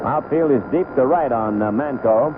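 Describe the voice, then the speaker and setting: A man's voice: mid-range voice, moderate pace. Tinny vintage radio baseball announcer, man. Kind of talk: live radio sports commentary. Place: outdoor ballpark broadcast booth. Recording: tinny, noisy old AM radio broadcast.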